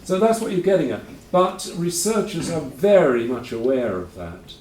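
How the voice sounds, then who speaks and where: A man's voice: fairly high pitch, expressive, moderate pace, darkish tone, a little hiss or hum. A man hosting a conference, talking informally in a rambling, self-correcting way with dry asides. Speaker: conference host. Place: lecture hall. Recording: roomy hall, voice from a distance.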